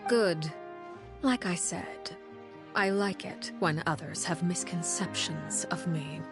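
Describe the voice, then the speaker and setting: A woman playing a cold, poised, secretive leader in a video game, speaking in a measured, guarded, formal way. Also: fairly low pitch, music in the background. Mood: composed, explaining. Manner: measured, guarded, faintly menacing formal speech. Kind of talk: video game story dialogue.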